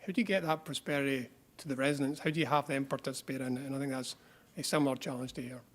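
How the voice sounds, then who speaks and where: A man making quick, chatty remarks as a guest at a public meeting, often repeating words. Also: mid-range voice, steady tone. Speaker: guest speaker.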